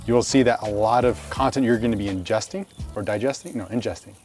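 A man co-hosting in casual banter while he cooks steaks outdoors, playful and quick to laugh. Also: fairly low pitch, soft background music. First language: Spanish. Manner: playful, laughs often, animated. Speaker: co-host cooking steaks. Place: outdoor backyard kitchen.

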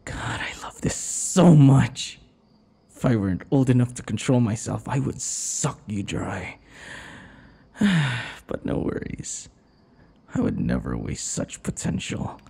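A man playing a possessive, taunting vampire captor in an intimate ASMR roleplay, his breathy voice often dropping into whispers. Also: medium pitch, dark tone, full character voice. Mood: hungry, commanding. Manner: taunting, slow, drawn-out endearments. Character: possessive, obsessive male vampire captor and would-be lover. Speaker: audio roleplay voice actor.

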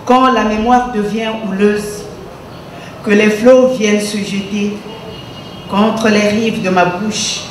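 High-voiced man reciting poetry, very echoey. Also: steady tone, slow pace. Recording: very echoey hall, distant mic, faint noise.

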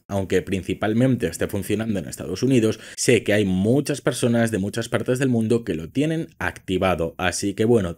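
A fairly low-pitched man explains a phone and computer walkthrough one step at a time. He talks straight to the viewer in the easy, upbeat way of a tutorial creator. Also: darkish tone. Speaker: social media tutorial creator. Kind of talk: screen-recorded how-to walkthrough.

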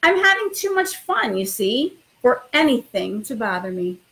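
Higher-voiced woman reading to children. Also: big pitch swings, moderate pace, fairly bright tone. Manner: encouraging, addresses children directly. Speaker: elementary school principal. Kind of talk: informal talk and children's picture book read-aloud.

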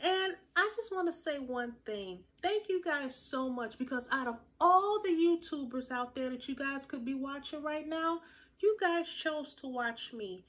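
Woman, 30s, upbeat home vlogger; slightly high, unhurried, a touch nasal; phone-mic close. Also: expressive, fairly bright tone. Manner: chatty, upbeat, addresses viewers directly. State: slightly nasal, recovering from illness. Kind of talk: casual haul vlog. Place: small room at home, plain grey wall.

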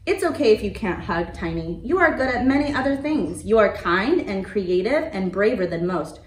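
A woman voicing a loving mother dinosaur who speaks softly and reassuringly. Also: medium pitch, big pitch swings, moderate pace, close to an everyday voice. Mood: tender. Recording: roomy, from a distance.

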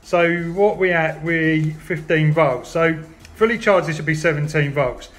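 A man's voice: fairly high pitch, unhurried. A man narrating a casual hands-on tutorial, explaining informally step by step as he works. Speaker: hobbyist demonstrator.

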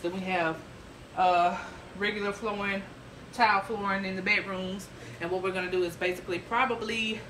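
A woman's voice: mid-range voice, sharp tone, slight background noise. A woman in her thirties, chatty and full of ums, filming a home renovation vlog on her phone in a large kitchen. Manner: chatty, thinking aloud with many ums. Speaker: vlogger. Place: large kitchen of an old house. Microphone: phone at arm's length, later propped far off. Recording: echoey room.

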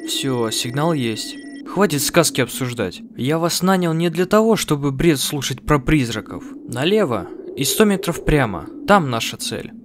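A bossy scientist, played by a man, curt and insistent in a cartoon dub. His voice sits fairly high and moves in lively swings, with noisy ambience behind it. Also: moderate pace, few pauses. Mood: irritated. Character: bossy, curt scientist who hired the expedition. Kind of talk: dubbed animated web series dialogue.

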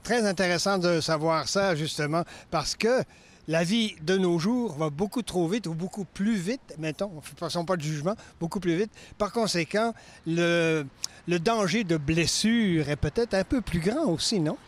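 Older French-Canadian interview host, high voice, rambling questions with hesitations, recorded outdoors on a handheld mic. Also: male voice, normal speed. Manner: chatty, hesitant, searching for words. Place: outdoors in a leafy park.

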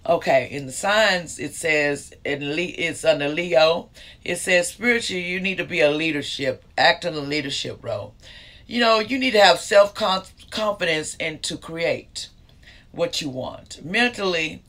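A man's voice: fairly high pitch, animated, unhurried, sharp tone. A middle-aged man giving a casual tarot reading to camera into a handheld mic, talking loosely with many fillers and restarts. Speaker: tarot reader. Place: small indoor room. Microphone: handheld microphone held close.

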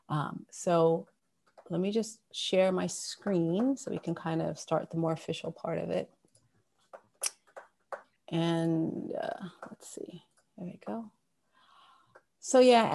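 A woman's voice: fairly low pitch, vocal fry, darkish tone. A woman in her thirties from Sudan giving a casual talk over a video call from her home office, with plenty of fillers and restarts. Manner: conversational, many fillers and restarts. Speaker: guest speaker. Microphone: wired earphones on a video call.

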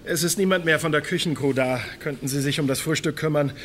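An actor playing an officious ship's first officer in a German radio crime drama, formal and firm in manner. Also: male voice, fairly high pitch, fast talker, fairly bright tone, slight background noise, natural voice. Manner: formal, procedural, polite but firm.

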